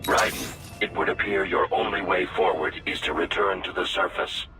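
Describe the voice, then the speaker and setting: An actor voicing a coolly logical artificial companion in a video game, formal, precise and deadpan. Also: male voice, sits low, normal speed, recorded from a distance, music in the background, close to an everyday voice. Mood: formal, detached. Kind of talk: video game radio-call dialogue.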